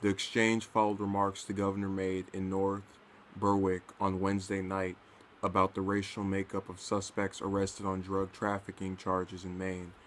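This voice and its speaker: A man's voice: low-pitched, completely flat delivery, normal speed, a bit bright, slight background noise. A man casually reading and commenting on news, stumbling over his words now and then.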